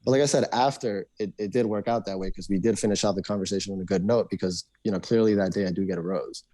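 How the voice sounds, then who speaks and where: Fast-talking young man, deep-ish voice. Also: fairly even intonation, dark tone, dry recording. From Argentina. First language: Spanish. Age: young adult. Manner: fast, rambling, many restarts and fillers. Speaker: podcast guest. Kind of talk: casual interview chat.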